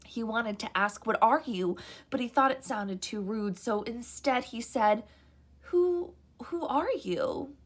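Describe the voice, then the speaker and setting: Brief: woman reading as nervous, polite young boy, a bit higher than her own voice, awkward. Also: expressive, takes it slowly. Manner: nervous, polite, hushed at times.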